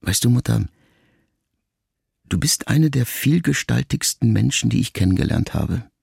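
An actor playing a pensive, melancholy grown son in a radio drama monologue, speaking to his mother in a gravelly, measured, intimate way. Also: medium pitch, animated, darkish tone, no room sound, studio quality, heavily acted. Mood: reflective, melancholy. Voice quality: gravelly, creaky.

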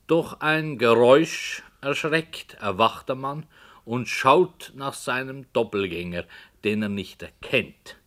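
An actor playing a brooding, hesitant author who tells a dark story in a slow, hushed voice that sometimes drops to a whisper, in 1960s radio play style. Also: male voice, medium pitch. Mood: musing.

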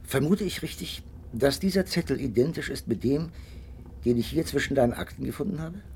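An actor playing a detective's loyal friend in a radio drama, earnest, puzzled and deliberate. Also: male voice, medium pitch, lively intonation, gravelly, darkish tone, slight background noise. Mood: thoughtful, puzzled.

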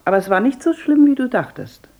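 A husky, gentle mother figure. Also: fairly high pitch, big pitch swings, fast, lo-fi sound. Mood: coaxing. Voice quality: husky, gravelly voice. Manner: gently probing, soothing. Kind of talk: radio drama acting.

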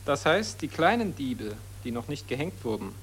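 Brief: caustic grumbler, lively swooping delivery, faint hum. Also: male voice, medium pitch, normal speed. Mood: sardonic. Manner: biting, dry sarcasm. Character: sardonic grumbling male critic.

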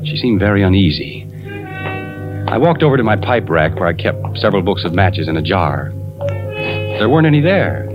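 A troubled, suspicious husband tells his story in a 1940s radio thriller. The voice is on the low side, terse and hard-boiled. The old broadcast is dull, with music playing behind. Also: big pitch swings, normal speed, long pauses. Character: suspicious, troubled husband and father, an insurance investigator. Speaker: actor and first-person narrator in a radio thriller.

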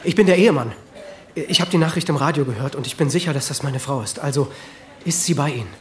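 Actor as anxious, hesitant husband, roomy sound. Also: male voice, a little high, fast talker, natural voice. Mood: urgent. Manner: hesitant, stammering, urgent. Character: anxious husband searching for his missing wife. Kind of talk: German radio drama acting. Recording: roomy sound with faint noise.

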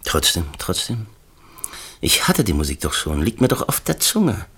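An actor playing a distracted, fussy middle-aged screenwriter in a radio drama, musing haltingly and repeating himself. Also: male voice, low-pitched, very animated, a bit bright, slightly rough, heavily acted. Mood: searching, rambling. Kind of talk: naturalistic radio drama acting.

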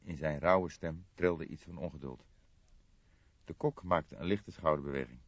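A man's voice: a bass voice, moderate pace, dry recording, highs cut off. A man reading a detective novel as a dramatic audiobook narrator.

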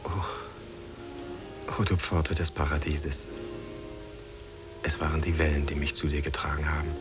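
Ardent fairy-tale hero, very deep voice, earnest, vintage radio drama, loud music. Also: male voice, monotone, moderate pace. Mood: tender, enraptured. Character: Abu Mohammed, adventurous male hero, ardent lover. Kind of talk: 1950s German radio play acting. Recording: old radio tape, loud music behind.

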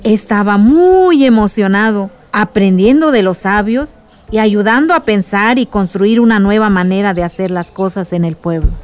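A woman's voice: mid-range voice, expressive, moderate pace, close to an everyday voice. A woman playing an affectionate, reflective village aunt reminiscing fondly in a radio drama. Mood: fond, nostalgic. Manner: reminiscing, fond. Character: affectionate, reflective village aunt telling her niece about the past.

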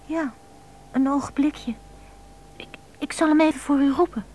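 A woman's voice: high-pitched, steady tone, normal speed, a little mellow, natural voice. An actress playing a lovelorn, needy woman with a pleading, emotional manner in a radio drama. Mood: anxious, pleading.

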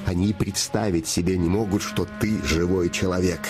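A man's voice: sits low, steady tone, normal speed, natural voice. An actor playing a man's scheming living shadow that poses as a devoted friend, insinuating, solemn and emphatic, in a theatrical fairy-tale play. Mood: grave warning. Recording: music behind the voice.

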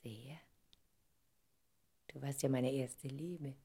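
An actress playing a tender, wistful woman reunited with her first love in an intimate German radio drama, soft and often hushed. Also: very deep voice, steady tone, extremely slow, breathy edge, heavily acted.

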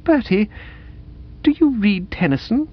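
A man voicing a dreamy, sentimental young woman with a gushing, halting, earnest delivery in a dramatised audiobook. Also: high-pitched, very animated, breathy edge, muffled recording, pitched above the performer's own voice, full character voice.

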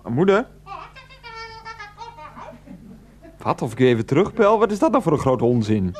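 Actor as a flustered, pleading middle-aged son. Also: male voice, medium pitch, very animated, fast talker, darkish tone, close to an everyday voice. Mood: indignant, exasperated. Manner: flustered, repetitive, protesting, placating. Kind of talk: radio play acting.

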